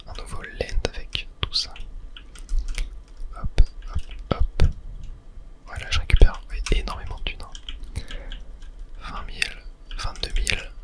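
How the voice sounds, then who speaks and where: A person whispering ASMR game commentary, thinking aloud to viewers with hesitant pauses. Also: fairly bright tone. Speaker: gaming video host.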